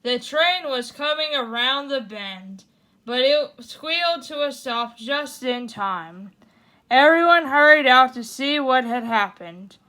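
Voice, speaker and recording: A woman hosting a bedtime story show, reading a picture book aloud with animated asides. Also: sits high. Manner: slow, animated, comments between passages. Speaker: storyteller host.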